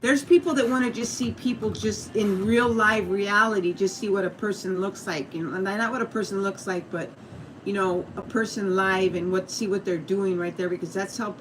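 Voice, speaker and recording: Woman, Mexican American accent, casual livestream chatter, rambling with many fillers, noisy audio. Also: medium pitch. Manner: meandering, many fillers and restarts, greets viewers by name. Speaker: YouTube live streamer.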